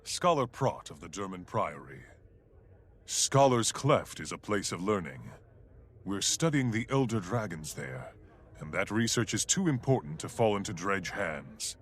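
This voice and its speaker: An actor playing a learned, concerned scholar in a fantasy video game, speaking formally. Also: male voice, sits low, sing-song, a little slow. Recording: game audio with music behind.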